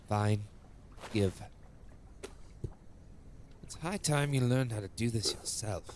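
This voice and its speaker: An actor playing a patient, dryly teasing guardian with formal old-world diction in an audio drama. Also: male voice, lowish voice, lively intonation, very slow, noisy background.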